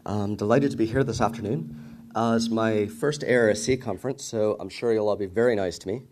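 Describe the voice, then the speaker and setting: A middle-aged man from the United States giving a conference talk, his voice very low and mellow. He speaks in a measured way, with plenty of "uh" fillers between phrases. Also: slight background noise. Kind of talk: conference presentation.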